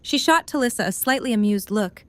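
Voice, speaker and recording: A woman's voice: on the high side, animated, a little fast. A woman narrating a scripted third-person story as part of an audio drama.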